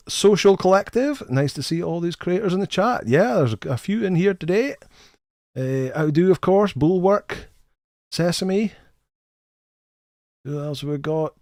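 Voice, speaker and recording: A man's voice: a little high, a little mellow, no echo, clean studio sound. A middle-aged man hosting a casual live game stream, greeting viewers in a chatty, friendly way and often repeating the first words of a sentence. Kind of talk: casual live stream chat, reading chat names.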